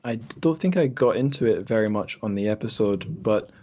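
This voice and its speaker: A man's voice: lowish voice, animated, a little fast, dark tone, lo-fi sound. A man hosting a casual podcast conversation over an online call, rambling along in a self-deprecating way. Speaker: podcast host.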